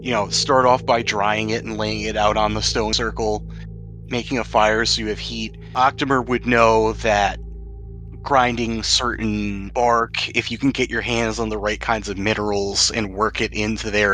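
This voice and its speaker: Bright-voiced man running a tabletop game. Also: on the low side, rough recording. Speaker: game master. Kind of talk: casual explaining while running a tabletop game.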